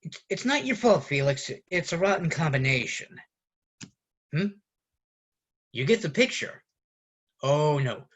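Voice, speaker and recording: A man playing an exasperated roommate ranting at his fussy friend in a deliberate theatrical monologue with dramatic pauses. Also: on the high side, sing-song, fairly bright tone, exaggerated voice. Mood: berserk, sarcastic. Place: online video call performance.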